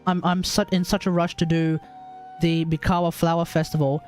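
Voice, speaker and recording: A woman's voice: lowish voice, level tone, normal speed, darkish tone. A woman doing casual, chatty live game commentary, thinking aloud as she plays. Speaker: game streamer. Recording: game music behind.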